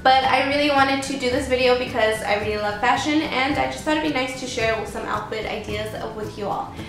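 A woman's voice: high-pitched, a little fast, music in the background, poor audio. A young woman fashion vlogger talking casually to camera in a small bedroom, upbeat and chatty, her voice a little off from being sick. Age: young adult. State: sick, voice a bit off. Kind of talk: casual vlog talk to camera. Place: small bedroom with string lights, indoors.

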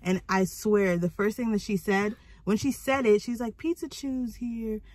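A young woman vlogging from a parked car, hoarse from a cold, chatting in an animated storytelling way. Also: mid-range voice, measured pace. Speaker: vlogger mother. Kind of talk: casual vlog chat. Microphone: phone held at arm's length.

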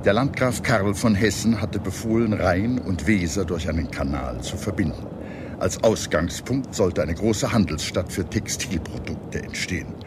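A man reading scripted historical narration as a documentary narrator, in a measured, formal manner. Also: low-pitched, animated, noisy background. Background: music behind the narration.

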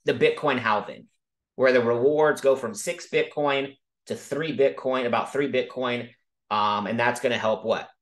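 A man casually teaching about markets over a video call, talking quickly and emphatically and often checking in with "okay?". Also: mid-range voice. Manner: fast, emphatic, frequent "okay?" check-ins. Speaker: crypto educator hosting a Zoom call. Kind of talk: casual screen-share teaching.

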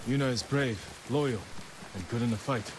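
An actor playing an earnest, loyal samurai warrior in a video game, speaking solemnly and formally. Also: male voice, medium pitch, normal speed, close to an everyday voice. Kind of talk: dramatic video game acting. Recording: clear background noise, game ambience.

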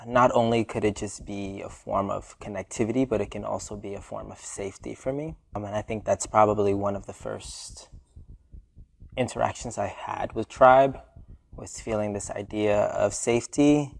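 A young man from the Bronx, a dancer speaking in a measured, thoughtful way about his art. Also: on the low side, fairly even intonation, vocal fry. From Bronx, New York. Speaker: dancer and performer. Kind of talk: reflective interview talk.

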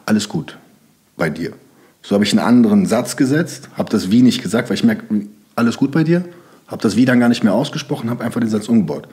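A man's voice: fairly low pitch, expressive, fast, warm tone. A young man from Germany, speaking as a podcast guest in loose, rambling sentences full of fillers. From Germany (Sauerland). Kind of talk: casual interview talk.

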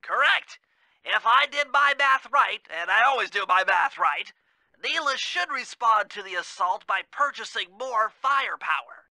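Sharp, nerdy cartoon brainiac. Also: male voice, very high-pitched, animated, normal speed, no echo, full character voice, low-quality recording. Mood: confident instructing. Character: nerdy, brainy male turtle gadget expert. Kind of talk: video game voice acting.

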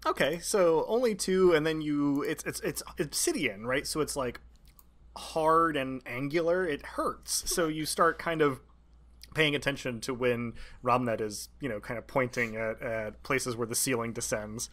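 Male game master for tabletop session, medium pitch, improvised descriptions in careful, halting phrases. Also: fairly bright tone.

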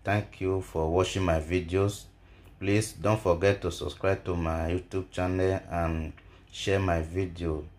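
Slow, low-voiced man giving a tutorial. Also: little pitch movement, darkish tone. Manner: halting, repetitive delivery. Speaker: YouTube tutorial host. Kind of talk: step-by-step phone tutorial narration.

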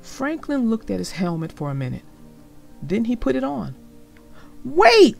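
A woman narrating a children's story in an expressive read-aloud style, speaking directly to young listeners. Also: mid-range voice, moderate pace, creaky, soft background music. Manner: addresses young listeners directly, explaining the lesson.

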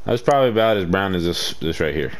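A young man with a fairly deep voice, chatting casually and rambling while playing a game, slangy and self-mocking. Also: lively intonation, fast talker, a little hiss or hum. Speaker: gaming channel co-host.

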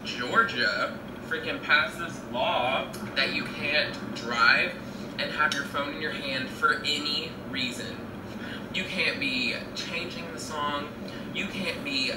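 A man's voice: a little high, slow. A nineteen-year-old man talking casually and sarcastically while driving, recorded in a car by a dashboard camera. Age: teens. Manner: chatty, sarcastic, animated. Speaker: vlogger. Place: inside a moving car. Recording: roomy car cabin, distant mic, loud road noise. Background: road noise.

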